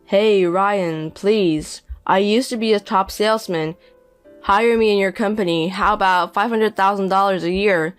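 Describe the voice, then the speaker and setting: A woman voicing a 57-year-old indebted deadbeat father who pleads and pities himself, speaking slowly. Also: mid-range voice, clean tone, soft background music, lightly acted. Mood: wheedling. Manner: pleading, self-pitying, slow.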